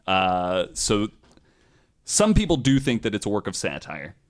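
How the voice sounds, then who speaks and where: A man with a fairly low voice hosts a casual podcast. He tells a researched story with plenty of swearing. He leans theatrically on key phrases, as if presenting to friends. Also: wildly swooping pitch, unhurried.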